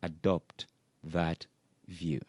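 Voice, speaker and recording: Man, radio talk show co-host, low voice, earnest and argumentative, dry studio sound. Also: little pitch movement, very slow, darkish tone, breathy edge, no echo. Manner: earnest, argumentative, repeats "what I'm saying is".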